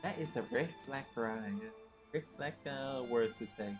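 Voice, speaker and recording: A man's voice: mid-range voice, unhurried. A man livestreaming a video game, giving casual commentary as he plays. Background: game music.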